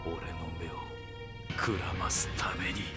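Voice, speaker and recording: An actor playing a tough, righteous young delinquent hero in a Japanese audio drama, with rough, curt, taunting speech. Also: male voice, low-pitched, little pitch movement, unhurried, loud music behind the voice. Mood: grim suspicion.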